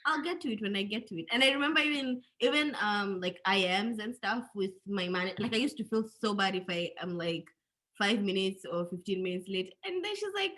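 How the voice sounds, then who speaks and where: A Kenyan woman with a Kenyan accent chatting casually on a careers panel, animated and repeating phrases for emphasis. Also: mid-range voice, a little fast.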